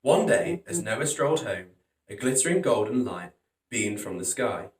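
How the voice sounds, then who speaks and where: A man's voice: on the low side, sing-song, normal speed. A man hosting a storytime, narrating a children's picture book in a dramatic, emphatic way. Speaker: storytime host reading picture books. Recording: roomy echo, distant from microphone.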